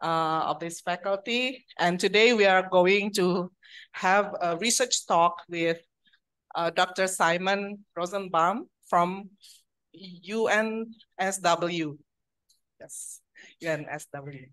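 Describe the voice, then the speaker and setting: A woman with an Indonesian accent opens a university event in a seminar room, speaking into a handheld mic. She talks slowly and haltingly, pausing often with fillers. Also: fairly low pitch, fairly bright tone, low-quality recording. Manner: hesitant, many fillers. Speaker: event host. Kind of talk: opening announcements.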